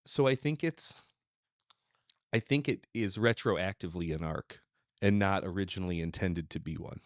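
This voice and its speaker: A man with a fairly low voice talks casually as a podcast co-host, working out his thoughts as he speaks. The sound is dry and close, with little room in it. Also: normal speed, dry recording. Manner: rambling, thinking aloud. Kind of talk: casual discussion of an old radio serial.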